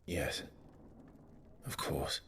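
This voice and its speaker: An actor playing a guilt-ridden young nobleman and hardened wanderer in a video game, low, breathy and hushed, speaking haltingly with restrained feeling. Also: slight background noise. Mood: subdued, restrained. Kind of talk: dramatic video game voice acting.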